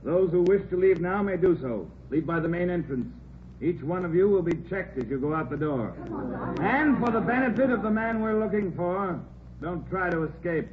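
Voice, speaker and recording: An actor playing a police officer making a firm, measured announcement, in 1940s radio drama style. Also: male voice, sits high, lively intonation. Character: police officer addressing a theater audience. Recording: dull old radio recording with hiss.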